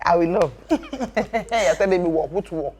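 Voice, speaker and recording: A woman playing a loud teasing best friend. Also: fairly low pitch, a little slow, husky. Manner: teasing, dramatic, animated outbursts. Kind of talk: skit drama acting.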